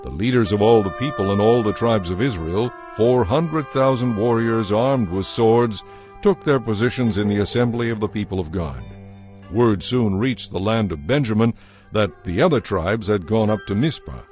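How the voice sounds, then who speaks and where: Low, expressive male narrator. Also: moderate pace, faint music behind. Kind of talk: scripted dramatic Bible narration.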